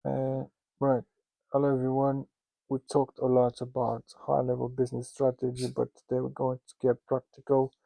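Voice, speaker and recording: Russian-accented guy teaching, dry close sound, kinda flat and monotone, jokey asides, lots of uhs and restarts. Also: medium pitch, gravelly, no echo, muffled recording. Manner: joking and self-mocking, with loose asides; halting, with many restarts and fillers. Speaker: instructor teaching founders. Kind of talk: casual spoken lesson.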